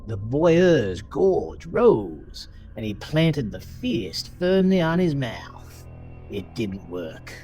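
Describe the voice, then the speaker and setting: A man narrates a horror tale with theatrical suspense, taking his time over each phrase. His pitch moves dramatically up and down, and music plays clearly underneath him. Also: very animated, drawn-out words, warm tone. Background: prominent music bed.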